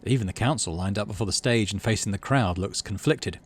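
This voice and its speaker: A man, middle-aged, hosting a game playthrough, mixing casual commentary with expressive story reading. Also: lowish voice, a little fast, slightly rough. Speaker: let's play host.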